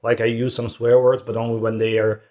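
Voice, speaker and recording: A man's voice: mid-range voice, flat delivery, a little fast, a little mellow, lo-fi sound. A non-native English-speaking man co-hosting a comedy podcast in casual chat, self-deprecating and thinking aloud with restarts. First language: not English. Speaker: podcast co-host.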